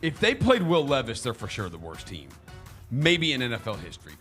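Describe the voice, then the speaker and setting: A man's voice: mid-range voice, huge pitch swings, a little fast, fairly bright tone, faint music behind. A man giving casual sports opinions as a show panelist.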